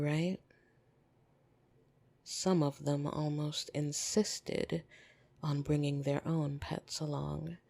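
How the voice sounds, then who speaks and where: A woman voicing a lonely, flirtatious vampire in an ASMR roleplay, soft and breathy, teasing and intimate with hesitant pauses, tender yet easily irritated. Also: deep voice, exaggerated voice. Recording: soft piano music behind.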